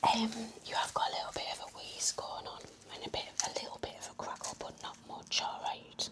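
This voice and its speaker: A woman speaking in a gentle, breathy, mostly whispered voice, playing a reassuring nurse in a soft-spoken ASMR medical roleplay. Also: a little fast. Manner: gentle, reassuring bedside manner. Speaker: ASMR performer playing a home-visit nurse.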